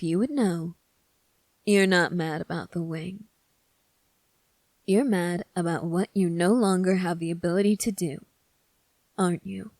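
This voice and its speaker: Woman as a low, slow-talking sly young prince. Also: darkish tone, no room sound, close to an everyday voice. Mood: calm, probing. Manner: deliberate, teasing, smug. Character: sly, teasing young prince, male, calmly commanding. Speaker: voice actor. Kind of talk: scripted ASMR roleplay monologue.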